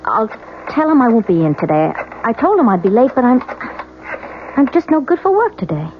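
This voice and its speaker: A fretful young wife in a 1970s radio play, anxious and impatient. Her halting voice swings widely in pitch, on a muffled broadcast with faint hum. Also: sing-song. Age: young adult. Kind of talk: 1970s radio drama acting.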